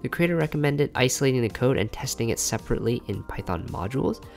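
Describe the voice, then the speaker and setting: Young male narrator for a dev log: low, gravelly voice, informal explainer delivery, faint music underneath. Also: animated, quick pace. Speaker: software engineer and video creator narrating a dev log. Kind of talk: scripted narration.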